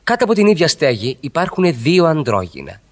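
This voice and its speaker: A smooth-mannered married gentleman host, greek radio theatre, wry mock-respectful teasing, really lively intonation. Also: on the high side. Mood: expansive, ironic. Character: urbane, teasing married country-house host.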